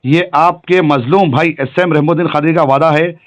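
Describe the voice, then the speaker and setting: A man's voice: fairly high pitch, fairly even intonation, normal speed. A middle-aged man with a Hyderabadi accent talking on a phone call, insistent and repetitive, addressing the listener respectfully. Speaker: local political activist.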